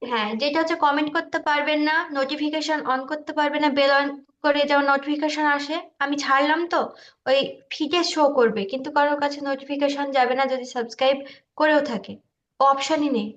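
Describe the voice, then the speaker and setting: A woman's voice: high-pitched, fairly even intonation, brisk, fairly bright tone, highs cut off. A woman explaining things in a casual, slightly hesitant way during an online class discussion. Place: online video call.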